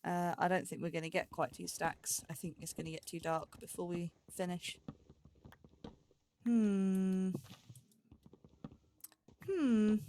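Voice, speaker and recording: A woman's voice: lowish voice, darkish tone, a little hiss or hum. A woman giving casual, rambling gameplay commentary, thinking aloud with a playful, self-chiding manner. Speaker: let's play host.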